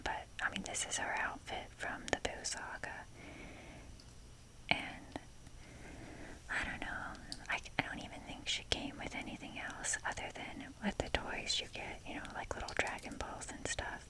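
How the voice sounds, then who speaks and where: Young female ASMR creator. Breathy whisper, unhurried pace, informal rambling delivery. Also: rough recording. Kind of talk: whispered ASMR, rambling casual show-and-tell.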